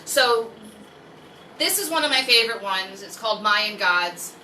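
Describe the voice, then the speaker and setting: Woman, American accent, very bright forceful voice, chatty livestream host, rambling jokey asides, echoey room, faint noise. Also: medium pitch, expressive, a little slow. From United States. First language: English. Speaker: host of a live story-time stream. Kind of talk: casual chatty livestream with reading aloud. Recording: roomy echo, distant mic, faint noise.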